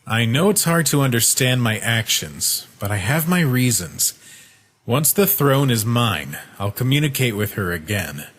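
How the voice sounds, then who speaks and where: Man acting a proud young goat prince, unhurried. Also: medium pitch, sing-song, fairly bright tone. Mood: earnest, resolute. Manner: haughty, earnest, commanding. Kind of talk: fan-dub comic voice acting. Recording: voice over background music.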